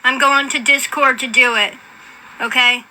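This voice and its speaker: A woman's voice: high-pitched, steady tone, measured pace, bright, forceful tone, a little hiss or hum, low-quality recording. A woman in a fed-up, confrontational, profane off-the-cuff rant to an online audience. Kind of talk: angry off-the-cuff livestream rant.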